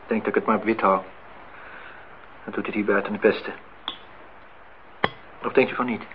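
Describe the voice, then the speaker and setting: A man acting a lonely, brooding engineer in a radio play. His voice sits fairly low and he has an insistent, melancholy temper. The recording is muffled, with some hiss and noise. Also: fairly even intonation, moderate pace, lightly acted. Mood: brooding, wistful. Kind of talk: radio play dialogue and monologue.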